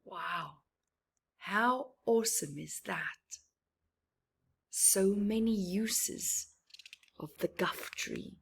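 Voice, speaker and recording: Slow-paced woman reading a children's story aloud. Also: mid-range voice, creaky, breathy edge. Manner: slow, deliberate, with asides to the listeners. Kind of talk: expressive read-aloud storytelling for young children.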